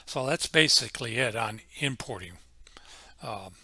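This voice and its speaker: A man giving an informal, unscripted software walkthrough as a tutorial presenter, explaining things methodically step by step. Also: mid-range voice, expressive, a little slow, breathy edge, dry recording. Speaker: tutorial presenter, amateur radio hobbyist.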